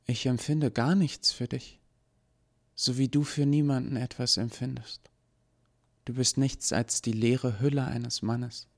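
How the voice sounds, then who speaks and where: A man reading an audiobook, voicing a gentle young woman who was made by someone, speaking softly and pleadingly. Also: medium pitch, steady tone, measured pace, voice pushed up, lightly acted. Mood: cold.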